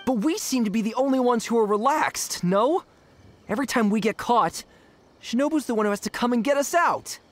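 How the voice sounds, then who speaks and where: A woman's voice: on the high side, lively intonation, a little fast, natural voice. A woman playing a worried, wary young gang member in a video game, plain-spoken.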